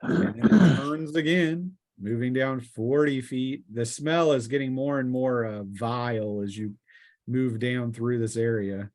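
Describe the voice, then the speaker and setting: Guy game mastering a tabletop rpg, medium pitch, kinda halting, conversational, making it up as he goes. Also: a little mellow. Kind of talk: narrating a tabletop role-playing game, improvised.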